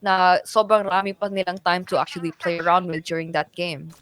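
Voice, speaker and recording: Filipina esports caster with a Filipino accent. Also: female voice, fairly low pitch, steady tone, brisk, a bit bright. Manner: mixes English and Tagalog. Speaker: esports shoutcaster. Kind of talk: live game commentary, analytical.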